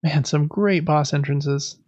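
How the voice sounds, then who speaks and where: A man's voice: a little high, slow, darkish tone, no echo, lo-fi sound. A man co-hosting a casual gaming podcast, talking informally and musing as he thinks aloud. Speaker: podcast co-host.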